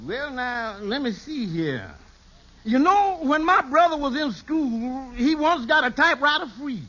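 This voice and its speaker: Shady, skirt-chasing radio sitcom lawyer, piercingly high and bright, folksy chuckling storyteller, muffled old recording. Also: male voice, big pitch swings, unhurried, full character voice. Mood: advising. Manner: chuckles through his stories, folksy nonstandard grammar. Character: excitable, girl-chasing shady male lawyer telling tall tales. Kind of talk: 1950s radio sitcom acting.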